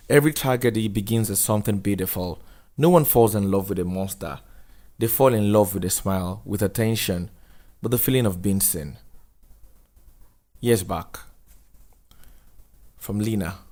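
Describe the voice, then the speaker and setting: A man narrating a dramatic script, setting scenes in a deliberate, solemn way. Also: fairly low pitch, warm tone.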